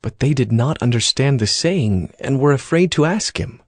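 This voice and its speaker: A man's voice: medium pitch, expressive, normal speed, no echo, studio quality. A man narrating scripture from a script as the storyteller of a dramatized audio reading.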